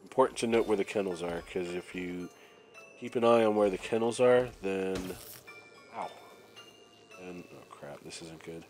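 A man commentating casually while playing a prison game, his voice raspy and nasal from a cold, thinking aloud as he plays. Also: low-pitched, normal speed, a little mellow. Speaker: gameplay commentator. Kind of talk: casual let's-play commentary. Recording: faint game music behind.

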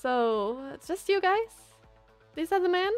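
Woman playing a fiery, high-pitched bossy young scientist. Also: animated, measured pace, faint music behind. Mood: exasperated. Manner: indignant, emphatic.

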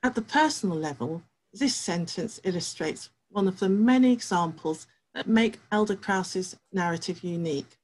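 Woman reading a careful academic talk, husky. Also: fairly low pitch, expressive, a little mellow, poor audio. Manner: measured, careful reading with pauses. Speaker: researcher. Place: home study with bookshelves.

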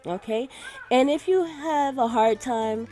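A woman's voice: high-pitched, slow, dark tone. Casual woman giving a tutorial over music. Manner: informal, instructive, addressing listeners directly. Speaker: radio DJ giving a software tutorial. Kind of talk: casual screen-recorded tutorial. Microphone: voice-over for a screen recording.

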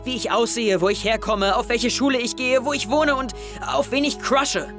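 A man's voice: very high-pitched, moderate pace, over music. A young man playing an anxious, wounded, suspicious teenage schoolboy in an audio drama, speaking intensely. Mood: accusing, hurt. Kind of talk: German audio drama acting, confessional narration and dialogue.

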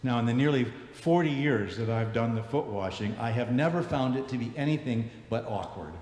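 Older man preaching in an echoey church. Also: darkish tone. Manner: measured, reflective, dropping low at phrase ends. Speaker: bishop preaching. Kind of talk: sermon. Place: large church sanctuary, standing before the altar. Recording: echoey church with long reverb.

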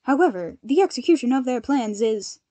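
A woman's voice: sits high, expressive, fairly quick, dark tone, dry recording. A woman voicing a stiff, earnest young hero leader who speaks formally and emphatically. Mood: formal, concerned.

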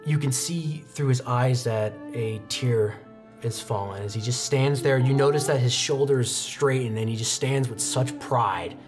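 A man's voice: mid-range voice, measured pace. A young man narrating a tabletop roleplaying game as game master, in descriptive, conversational storytelling. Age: young adult. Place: indoor studio set, seated at a desk. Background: background music.

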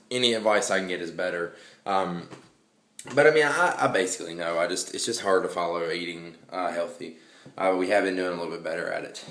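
A man's voice: deep voice, a bit bright, roomy sound. A young man vlogging from home, rambling casually with lots of fillers and restarts. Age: young adult. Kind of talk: casual vlog monologue. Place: small hallway at home at night.

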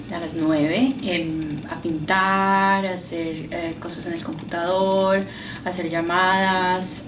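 A woman in her mid-thirties with a Colombian accent answers interview questions about herself, pausing between short phrases. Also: fairly low pitch. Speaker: artist and designer being interviewed. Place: indoors in a penthouse. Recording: roomy, distant mic, clear background noise.

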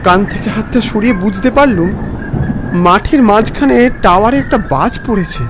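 A man narrating a scripted first-person story in a dramatic, expressive way. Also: high voice, normal speed, noisy background.

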